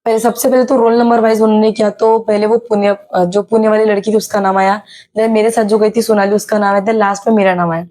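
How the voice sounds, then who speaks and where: A young woman from Maharashtra with a slightly sore, hoarse throat, telling a casual vlog story with many hesitations. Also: mid-range voice, flat delivery, quick pace, a little mellow. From Maharashtra, India. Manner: chatty, many hesitations and English words mixed in. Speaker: YouTuber sharing her exam experience.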